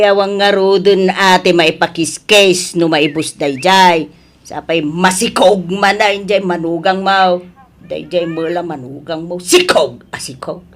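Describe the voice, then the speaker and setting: A woman acting an older, worried, nagging mother-in-law in an Ilocano radio drama, expressive and full of sighs. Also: lowish voice.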